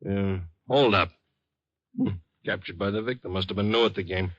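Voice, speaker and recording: A man's voice: fairly low pitch, normal speed, a bit bright, dry recording. A man playing an obliging, seasoned American police sergeant in a 1950s radio drama, casual and matter-of-fact. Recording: dull noisy vintage radio recording.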